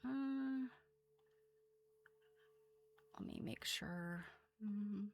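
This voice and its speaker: A woman's voice: mid-range voice, slow pace, gravelly. An American woman presenting quilt history casually as a host, chatty and self-deprecating, wandering off into asides.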